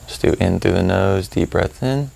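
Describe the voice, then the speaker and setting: A man leading a guided meditation as a teacher, calm and unhurried, leaving long pauses as he gives instructions. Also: sits low, gravelly, slight background noise.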